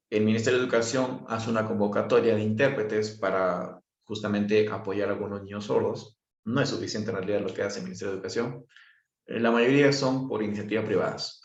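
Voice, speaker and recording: Man lecturing, low voice, echoey. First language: sign language. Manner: explains step by step, constant tag questions. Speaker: sign language teacher. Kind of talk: lecture to students. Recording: echoey, dull lo-fi sound.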